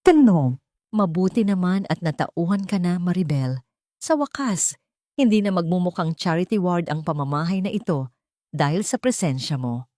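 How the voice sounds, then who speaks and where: A woman's voice: lowish voice, animated, moderate pace, warm tone, no echo, studio quality, lightly acted. Woman voicing a haughty, spiteful older matriarch. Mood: gloating scorn. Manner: scornful, imperious.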